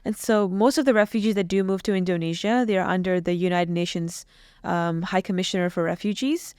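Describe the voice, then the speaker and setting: Young woman guest from Iran, smooth clear voice, dry studio sound, earnest and reflective delivery. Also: lowish voice, fairly even intonation, fairly quick, darkish tone, dry recording. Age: young adult. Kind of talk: podcast interview. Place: small podcast studio. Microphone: boom mic on stand.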